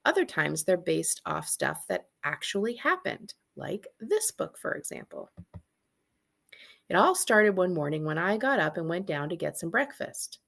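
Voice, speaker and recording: A woman's voice: lowish voice, sing-song, unhurried, gravelly, a bit bright. A woman, a librarian reading a children's book aloud with casual asides.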